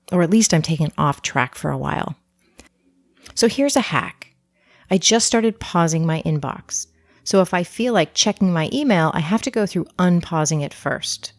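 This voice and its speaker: Low-voiced woman podcast host. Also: a little mellow, no room sound, clean studio sound. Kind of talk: interview and solo podcast segment.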